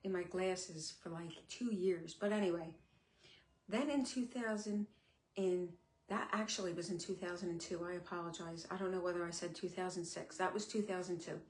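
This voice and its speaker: A middle-aged woman talking candidly on a live stream, her speech sometimes a little slurred, with many pauses and fillers. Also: lowish voice, steady tone, darkish tone, slight background noise. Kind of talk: casual live-stream talk. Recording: echoey room.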